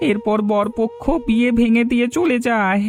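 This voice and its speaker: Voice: medium pitch, flat delivery, normal speed, darkish tone, lightly acted. An actor voicing a humble, helpless old male village cook in a cartoon, earnest and pleading. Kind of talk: Bengali cartoon voice acting.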